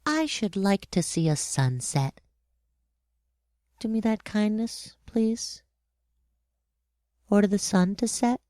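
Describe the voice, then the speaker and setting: A woman's voice: medium pitch, animated, takes it slowly, pauses often, darkish tone, dry recording, clean studio sound. A woman voicing a polite, curious young boy prince in a storybook dramatization, speaking in an earnest, childlike way. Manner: earnest, childlike, questioning delivery.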